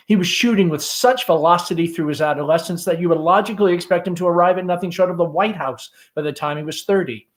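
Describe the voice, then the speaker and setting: A man reading a play aloud in deliberate, expressive scripted narration. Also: fairly high pitch. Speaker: reader, principal.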